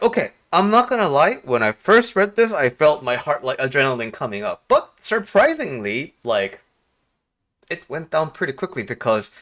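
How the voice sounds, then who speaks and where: A man from Korea talking casually and reflectively to viewers as a life coach, halting often and posing rhetorical questions. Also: fairly high pitch, fairly bright tone. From South Korea. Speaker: life coach and video host.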